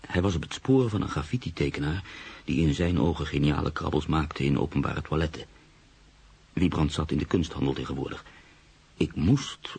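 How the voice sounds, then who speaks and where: Very deep, gravelly brooding man. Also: a little mellow, full character voice. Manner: measured, literary, pensive. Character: brooding, sceptical man narrating his own uneasy story. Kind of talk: radio drama narration and dialogue.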